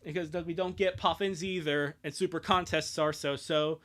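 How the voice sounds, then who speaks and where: A man's voice: on the high side, steady tone, moderate pace, fairly bright tone. A man hosting a live stream with casual commentary and reading, sarcastic, exasperated and prone to swearing.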